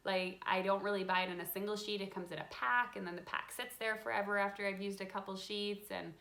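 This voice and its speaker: A woman in her thirties hosts a relaxed live question-and-answer chat about card making from her home craft room, reading out viewer questions between frequent ums. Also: medium pitch, fast, creaky. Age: 30s. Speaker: craft channel host. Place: home craft room with a desk, lit by a window.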